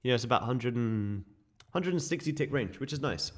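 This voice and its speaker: A man with a sore, croaky throat, casually walking viewers through live trading charts. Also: medium pitch, expressive, fairly quick. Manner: casual, chatty explaining to viewers. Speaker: day trading streamer.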